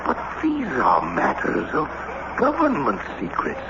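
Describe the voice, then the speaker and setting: A man's voice: fairly high pitch, wildly swooping pitch, measured pace, gravelly, exaggerated voice. An actor playing a proud, indignant, aristocratic American statesman with formal, dramatic radio delivery. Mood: troubled, doubting. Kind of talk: 1970s radio drama acting. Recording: muffled, noisy old radio broadcast.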